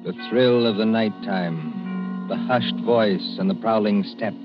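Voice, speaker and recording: A muffled vintage radio recording carries a low-voiced man narrating over prominent music. His delivery is measured, theatrical and ominous, in the manner of a suspense announcer. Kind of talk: dramatic old-time radio narration.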